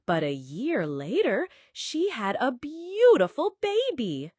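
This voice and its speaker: A woman narrates a children's bedtime story at a slow pace. Her pitch swings widely from phrase to phrase, and she coddles the listener with pet names. The recording is dry and close. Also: huge pitch swings, a little mellow, dry recording. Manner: coddling, addresses listener with endearments.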